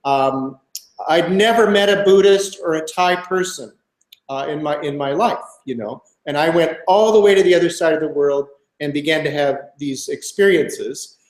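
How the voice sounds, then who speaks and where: Brief: man in his 60s from Minnesota, interview guest, thoughtful and hesitant, slightly high voice. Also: moderate pace, roomy sound, rough recording. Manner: thoughtful, many fillers and restarts. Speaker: guest author, professor. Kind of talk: relaxed interview answer.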